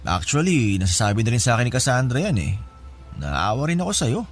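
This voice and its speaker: An actor playing a casual, scheming in-law who offers help with strings attached, familiar and coaxing, in a Filipino radio drama. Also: male voice, medium pitch, big pitch swings, fairly quick. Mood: reassuring, conspiratorial. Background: music bed.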